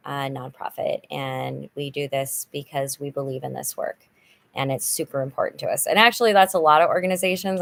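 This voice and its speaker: A middle-aged woman hosting a casual livestream chat, upbeat and chatty, with many fillers and restarts. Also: sits low. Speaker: channel host, nonprofit volunteer. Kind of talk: casual livestream chat reading viewer comments.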